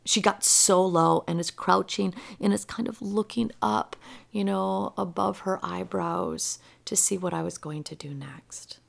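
Woman, low voice, heavy vocal fry, slow and gentle, half-whispered storytelling. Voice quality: soft, often half-whispered delivery. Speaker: animal healer. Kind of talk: personal storytelling.

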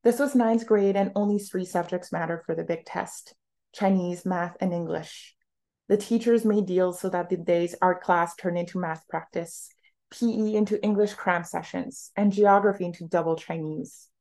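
A woman's voice: on the low side, fairly even intonation, moderate pace, a little mellow. A woman author reading literary prose aloud from her own novel in a narrative style.

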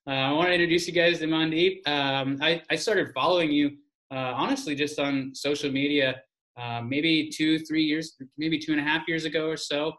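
A man's voice: on the high side, fairly even intonation, moderate pace, a bit bright, rough recording. A man hosting an interview podcast, chatty and enthusiastic, with many fillers and restarts. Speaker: podcast host. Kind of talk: interview podcast intro and chat.

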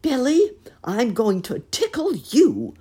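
A woman voicing a jolly, playful old gentleman rabbit in a storybook. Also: low-pitched, big pitch swings, slow pace, creaky, breathy edge, heavily acted. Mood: mischievous.

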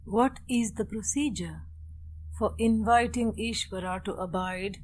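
A woman with a medium-pitched voice guides a meditation. She gives deliberate instructions in short, measured phrases, leaving long pauses between them, as a teacher would. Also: a little hiss or hum. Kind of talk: guided meditation with opening Sanskrit chant.

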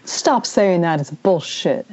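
A woman's voice: fairly low pitch, animated, slow pace, a little mellow. A woman playing a defensive, blunt teenage friend in an amateur script read over a muffled internet call. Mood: defensive, irritated. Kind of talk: amateur screenplay table read.

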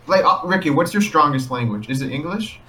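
A man's voice: on the high side, animated, fairly quick, bright, forceful tone, far from the mic. A man in casual chat on a podcast, chatty and asking curious questions.

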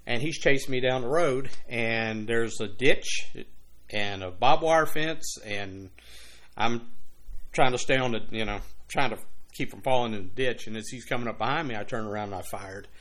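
A man in his sixties from Louisiana, a retired police officer and author, tells long war stories from his years on the job in a relaxed interview. His voice sits at an ordinary pitch for a man. He rambles from one anecdote to the next and drops plenty of "you know" asides. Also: moderate pace. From Louisiana, USA. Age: 60s. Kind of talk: casual conversational interview.

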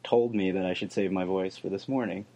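Podcast host, male, fast joking delivery, slightly worn voice, fairly low pitch. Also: steady tone, vocal fry, warm tone. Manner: quick, joking. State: losing his voice. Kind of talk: casual podcast banter.

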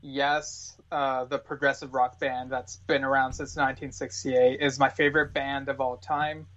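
A man's voice: mid-range voice, level tone, normal speed, fairly bright tone. A man speaking as a podcast guest in casual chat, with earnest fan enthusiasm, recorded with dull, lo-fi sound.

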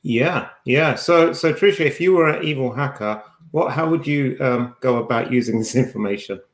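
A man's voice: medium pitch, moderate pace. Male podcast host in an echoey room. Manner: teasing, dryly joking. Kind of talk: casual banter on a news discussion show. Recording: roomy, echoey sound.

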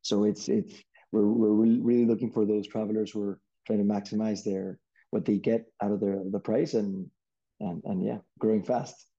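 A young man from Peru, a startup CEO, answering interview questions in a relaxed way with many restarts and fillers. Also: on the low side, moderate pace, vocal fry, muffled recording.

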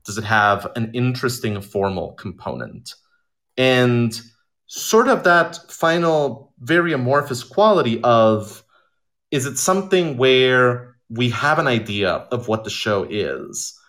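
A man answering in an informal interview, speaking deliberately in measured phrases with pauses between them. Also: medium pitch, animated, fairly bright tone. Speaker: audio drama creator, interviewee. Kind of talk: informal live conversation interview.

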